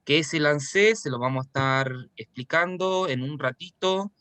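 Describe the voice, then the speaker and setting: A man's voice: a little high, normal speed, sharp tone, smooth, dry recording. A man hosting an online lecture, opening the session with casual, chatty announcements. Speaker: webinar host.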